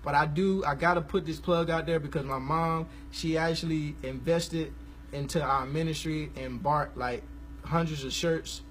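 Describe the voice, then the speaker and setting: A man, hosting a casual live stream about gospel music, talking in a relaxed, rambling, slangy way. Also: a little high, normal speed, a little hiss or hum. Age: 20s.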